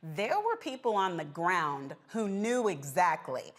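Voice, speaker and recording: A woman's voice: on the low side, sing-song, measured pace. Emphatic American woman hosting a panel. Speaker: panel host. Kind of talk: political panel discussion.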